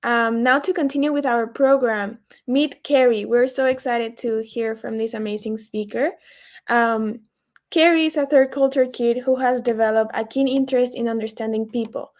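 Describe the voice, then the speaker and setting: A woman's voice: on the high side, steady tone, normal speed. A woman moderating an online session, reading out a speaker introduction with a few stumbles. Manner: warm scripted intro, some stumbles.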